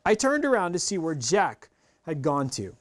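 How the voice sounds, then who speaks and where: A middle-aged man reading a children's novel aloud in an animated storytelling style. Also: a little high, normal speed. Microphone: clip-on lapel mic.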